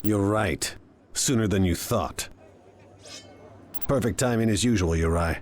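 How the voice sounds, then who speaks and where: An actor playing a proud, ruthless crime lord in a video game, with an imperious, deliberate, menacing delivery. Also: male voice, on the low side, a little mellow, slight background noise, natural voice. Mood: smug menace. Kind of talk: dramatic video game acting.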